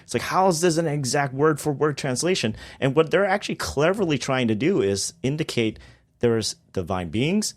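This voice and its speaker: A man's voice: fairly high pitch, expressive, moderate pace. A man, a guest on a podcast, explaining theology in a casual discussion with emphatic bursts on key points.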